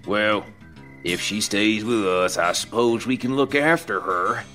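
A man doing a gruff, crude old trucker. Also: on the low side, measured pace, sharp tone, soft background music. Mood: hushed. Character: gruff, crude older male trucker, teasing.

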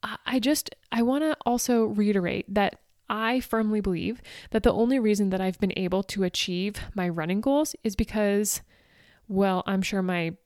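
A woman's voice: mid-range voice, normal speed, a little mellow, no room sound. Upbeat chatty woman in her forties, dry close mic. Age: 40s. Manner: upbeat, chatty, laughs often, addresses listeners as "you guys". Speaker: podcast host. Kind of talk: motivational solo podcast talk.

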